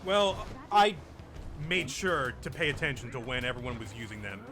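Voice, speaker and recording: Man, bright crisp voice, casual let's play commentary, game host, music in background. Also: mid-range voice, sing-song, normal speed. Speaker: video game playthrough host.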